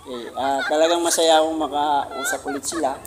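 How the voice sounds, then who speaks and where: A man with a Filipino accent and Tagalog as first language, chatting casually as a vlogger, jovial and halting, often groping for English words. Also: fairly high pitch, fairly even intonation. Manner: jovial, giggly, self-deprecating. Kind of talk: casual vlog chat, interviewing visitors. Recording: clearly noisy amateur vlog recording.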